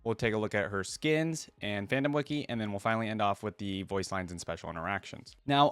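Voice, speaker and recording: A man's voice: lowish voice, dry recording. A man hosting a reaction video, reading text aloud and dropping in conversational asides. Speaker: YouTube reaction host. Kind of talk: casual reaction commentary mixed with reading aloud.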